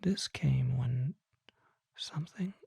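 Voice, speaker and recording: A performer voicing a mysterious, courtly vampire apothecary in a breathy, formal ASMR whisper, close to the microphone. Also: mid-range voice, no echo, exaggerated voice. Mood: hushed, reverent. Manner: slow, formal, deliberate, hushed.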